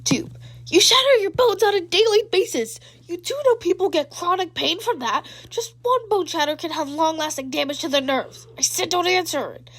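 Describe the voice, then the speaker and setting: Woman as an exasperated, high-pitched scolding teen. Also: animated, moderate pace, fairly bright tone. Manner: scolding, incredulous. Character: exasperated, sarcastic, worrying teenage friend.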